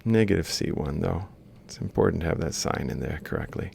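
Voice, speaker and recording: Male lecturer, low register with heavy vocal fry, informal step-by-step walkthrough. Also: lively intonation, brisk, darkish tone, a little hiss or hum. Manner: explains step by step while writing. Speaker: lecturer in robotics. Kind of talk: informal worked-example lecture.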